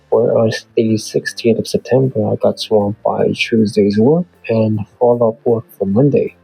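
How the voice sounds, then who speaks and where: A man still getting over a flu reads his weekly recap in an earnest, measured way. His voice sits low and mellow with a husky edge. The recording is dry and close, with faint music underneath. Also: no room sound, low-quality recording. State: voice off from recovering flu. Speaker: beginner artist and channel host. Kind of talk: scripted weekly progress narration.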